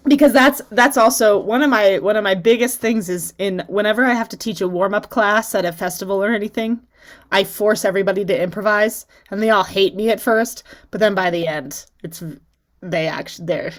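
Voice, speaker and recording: A woman's voice: medium pitch, brisk. Enthusiastic woman teacher on a call. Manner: enthusiastic, encouraging, laughs often. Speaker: flute teacher and masterclass host. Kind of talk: casual masterclass chat on a video call. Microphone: video call.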